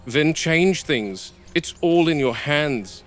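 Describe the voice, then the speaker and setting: A man playing a stern, cautious game warden, speaking in a measured, matter-of-fact way as in a scripted found-footage field log. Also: on the high side, expressive, fairly bright tone, faint music behind. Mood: stern, urgent. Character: stern, cautious male game warden logging park animal observations.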